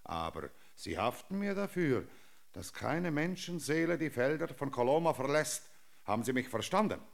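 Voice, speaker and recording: An actor in a German radio drama playing a domineering, possessive landowner captain who gives abrupt commands and grandiose claims. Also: male voice, mid-range voice, sing-song, moderate pace, gravelly. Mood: stern, bargaining. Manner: commanding, abrupt, clipped questioning.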